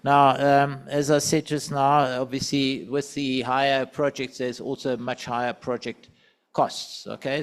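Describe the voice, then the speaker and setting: A man giving a society's financial report at a meeting, with a loud voice and a halting delivery full of fillers. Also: medium pitch. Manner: loose, halting, many fillers and restarts. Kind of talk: presenting at a meeting. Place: meeting hall.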